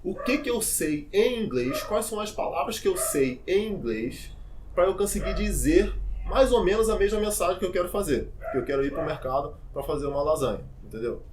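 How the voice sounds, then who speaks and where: A man's voice: sits high, a little fast, slight background noise. A young man, teaching English in a casual, informal vlog full of fillers and asides. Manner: lively, addresses viewers directly.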